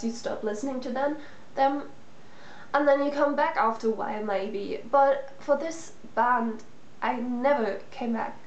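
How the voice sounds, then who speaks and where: A young woman whose first language is German, talking casually to camera as a vlogger, rambling a little with fillers and restarts. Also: fairly high pitch. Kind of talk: casual personal talk to camera. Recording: echoey room with faint noise.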